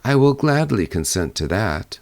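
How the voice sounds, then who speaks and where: Storybook troll princess, haughty and curt, low-voiced, performed by a male narrator, recorded dry. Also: big pitch swings, moderate pace, a little mellow, no room sound.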